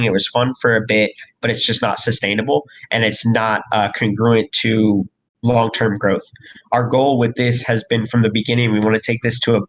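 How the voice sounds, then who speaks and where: A man from a crypto project team giving a casual update in a live voice chat, hoarse from recent illness and rambling with lots of fillers and restarts. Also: medium pitch, fairly bright tone, rough recording. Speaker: crypto project team member hosting an AMA.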